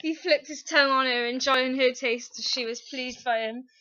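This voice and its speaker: Casting: female narrator for comic fan-fiction dramatic reading, high bright voice, theatrical storytelling, slightly muffled lo-fi sound. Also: fairly even intonation, normal speed.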